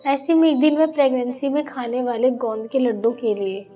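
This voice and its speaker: A woman's voice: high-pitched, fairly even intonation, moderate pace, mellow, soft background music. A woman reading scripted narration for a cartoon family story.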